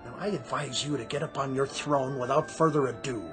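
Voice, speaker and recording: An actor playing a stern, officious department store manager in a radio drama, pompous and sarcastic. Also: male voice, medium pitch, steady tone, brisk, over music, close to an everyday voice. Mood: exasperated.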